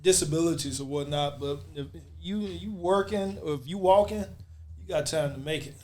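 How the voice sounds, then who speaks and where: Higher-voiced man in casual chat. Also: normal speed, a little mellow. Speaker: podcast panelist.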